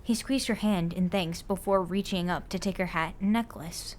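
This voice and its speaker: A woman's voice: lowish voice, moderate pace, darkish tone, a little hiss or hum. A woman reading a story aloud as scripted narration, like an amateur audiobook. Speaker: narrator of a fan fiction podfic.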